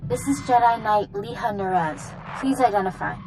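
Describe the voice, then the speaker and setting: A woman playing a resolute knight commander in a sci-fi video game, giving brisk, earnest, authoritative briefings. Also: mid-range voice, lively intonation, background noise, natural voice. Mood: resolute, urgent. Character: resolute female Jedi Knight, military commander. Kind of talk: video game dialogue acting.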